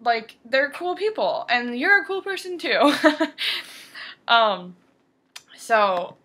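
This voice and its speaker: Bright-voiced young woman who stutters. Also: on the high side, very animated, extremely slow. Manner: stutters, with repeated words and cut-off sounds; earnest and open, with fillers and brief laughs. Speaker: vlogger. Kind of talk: candid personal talk to camera.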